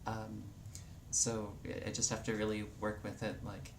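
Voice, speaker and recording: Low-voiced woman, rambling casual tips. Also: measured pace. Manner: relaxed, rambling with many fillers. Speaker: vlogger. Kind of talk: casual tutorial on voice and singing. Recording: faint background noise.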